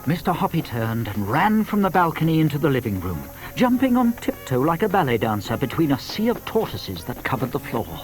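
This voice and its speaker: A man playing a genial, wry old tortoise who narrates a children's audio story in an expressive, confiding way. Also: medium pitch, brisk, few pauses, soft background music, lightly acted, low-quality recording. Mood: excited.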